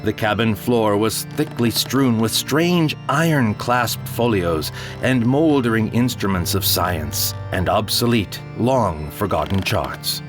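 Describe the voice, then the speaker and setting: A man's voice: on the low side, lively intonation, takes it slowly. Grave theatrical male narrator over music. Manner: grave, theatrical, rising to frantic cries. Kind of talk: dramatic first-person audio drama narration. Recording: music bed under the voice.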